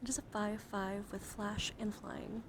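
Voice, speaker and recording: A young woman streaming ASMR, chatting playfully and teasingly to viewers in a coddling way, drifting between soft talk and whispers close to the microphone. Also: mid-range voice, level tone, unhurried, very noisy. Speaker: live streamer. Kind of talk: ASMR livestream with casual chat to viewers.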